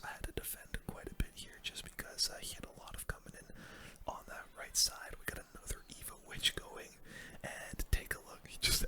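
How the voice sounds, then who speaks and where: Whispered voice, soft and breathy, ASMR game commentary, relaxed conversational host. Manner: relaxed, conversational narration of own play. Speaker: gaming video host.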